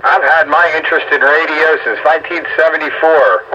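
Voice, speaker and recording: A man's voice: fairly high pitch, fairly even intonation, brisk. A man in his sixties chatting casually and assertively, like someone sure of his argument. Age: 60s. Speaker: licensed radio hobbyist on a group call. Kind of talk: casual chat. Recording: muffled, noisy radio-style audio.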